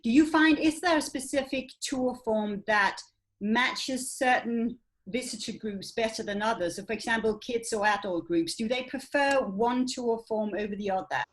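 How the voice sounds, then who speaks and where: A woman's voice: fairly high pitch, low-quality recording. A woman whose first language is Danish, hosting an interview, asking thoughtful questions and affirming her guest. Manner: affirming, thoughtful, quotes others in quick asides. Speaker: host.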